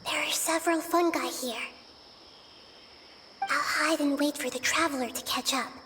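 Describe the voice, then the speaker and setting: A woman voicing a small, gentle girl deity in an anime fantasy game, calm, wise and articulate. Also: sits high, moderate pace, background noise, lightly acted. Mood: focused, careful. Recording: studio voice over game music.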